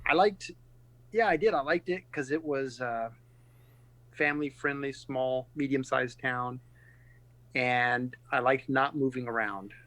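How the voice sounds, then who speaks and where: A middle-aged man from California and Texas, a pastor speaking earnestly and reflectively in a casual podcast interview, pausing often and restarting his sentences. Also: medium pitch, fairly bright tone, dry recording. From California and Texas, USA.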